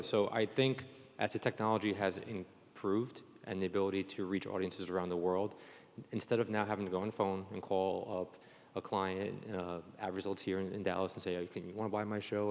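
A man's voice: deep voice, a little mellow. A man moderating a panel discussion at a conference. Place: large indoor conference venue. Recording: echoey conference hall with long reverb.

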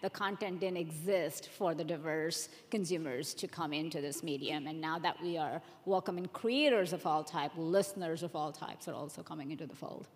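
A woman's voice: deep voice, moderate pace, hardly any pauses. A woman explaining things as a panelist in a conversational presentation style, often checking in with listeners. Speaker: audience insights executive, panelist. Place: large room at a conference panel. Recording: echoey hall with long reverb.

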